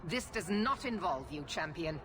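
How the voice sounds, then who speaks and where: An actress playing a stern, zealous middle-aged knight commander in a fantasy game, speaking with formal, commanding severity. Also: female voice, lowish voice, lively intonation, normal speed, background noise, lightly acted. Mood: stern, impatient. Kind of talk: dramatic fantasy game acting.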